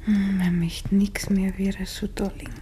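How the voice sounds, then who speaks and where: An actress playing a sleepy, down-to-earth, nagging village wife with a Bavarian accent, in 1950s radio play style. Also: female voice, mid-range voice, flat delivery, moderate pace, dark tone, lightly acted. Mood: drowsy. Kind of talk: 1950s German dialect radio play acting. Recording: 1950s radio recording, dull, faint noise.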